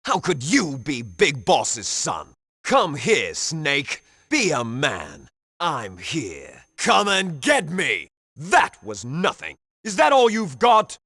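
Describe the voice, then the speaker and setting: A sneering, mocking villain from a video game. He drags his words out very slowly in a fairly high, bright voice that swoops dramatically as he goads. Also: wildly swooping pitch, dry recording, clean studio sound, exaggerated voice. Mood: sneering, goading. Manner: mocking, drawn-out goading.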